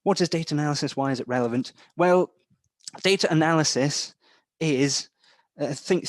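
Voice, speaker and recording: A young man with a mild Scottish accent, softened by English parents, giving a chatty instructor's talk full of ums and a habit of asking "right?". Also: medium pitch, no echo. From Scotland. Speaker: data analytics instructor. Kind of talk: webinar-style lecture.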